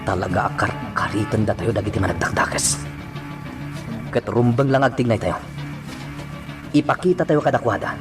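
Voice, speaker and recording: A man plays a righteous, stern police chief in an Ilocano radio drama. His voice sits slightly low, with music clearly playing behind it. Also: lively intonation, normal speed, long pauses, lightly acted. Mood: stern, indignant.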